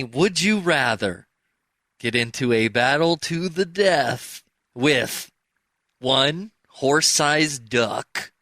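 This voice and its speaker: Man, medium pitch, interview host, casual chat, dry close sound. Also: fairly bright tone, no echo.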